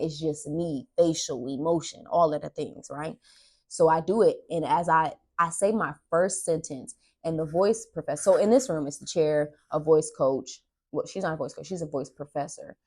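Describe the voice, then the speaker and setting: Young female vlogger, low voice, casual and animated storyteller who leans dramatically on key words. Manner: animated, dramatic, acts out dialogue and emphasizes words. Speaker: podcast host and aspiring actress. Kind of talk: casual storytelling vlog chat.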